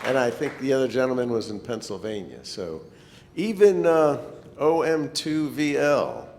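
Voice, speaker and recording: A man's voice: animated, moderate pace, darkish tone. A man giving an informal, slightly hesitant club presentation in a meeting hall. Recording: echoey hall, rumbling background noise.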